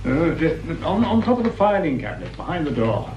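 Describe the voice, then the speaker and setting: An actor playing an imperious, curt research director in a British radio crime drama, with an English accent and a pompous, condescending manner. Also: male voice, fairly high pitch, wildly swooping pitch, brisk, darkish tone. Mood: brusque, officious. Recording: roomy, muffled, slightly noisy radio recording.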